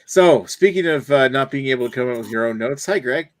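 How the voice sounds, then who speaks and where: A man's voice: medium pitch, expressive, fairly quick, no echo. Give me a casual male host who jokes and steers the panel along. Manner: loose, swearing, leads the show. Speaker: host of a beer review panel show. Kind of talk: casual chat.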